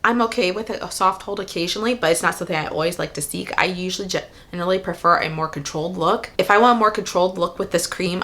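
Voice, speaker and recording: A woman with a hoarse voice from a cold, chatting casually as a hair vlogger and reading product labels aloud in a methodical way. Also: mid-range voice, a bit bright. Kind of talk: casual product review while styling.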